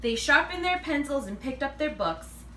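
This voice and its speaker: Animated woman reading a storybook, roomy recording. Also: sits high, moderate pace. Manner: animated, acts out dialogue. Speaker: read-aloud reader. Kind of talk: expressive children's picture book read-aloud. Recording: roomy, distant mic, faint noise.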